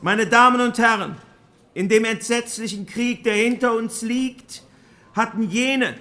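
A man playing a solemn post-war German parliamentarian making a formal, measured speech in a radio docudrama. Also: very high-pitched, pushed and bright, exaggerated voice. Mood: solemn, grave.

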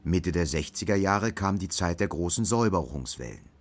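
A low-pitched male narrator tells a dystopian radio story. His delivery is sober, measured and detached, with the shape of scripted literary prose. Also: expressive. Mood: grave, detached.

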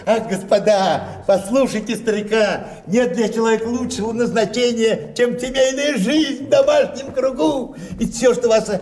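A genial old gentleman of the comic stage. His voice is high and theatrical, full of sentimental, deferential preaching. It is heard from some distance in a roomy space, with faint noise. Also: moderate pace, hardly any pauses. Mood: sentimental, preachy. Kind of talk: theatrical stage comedy acting.

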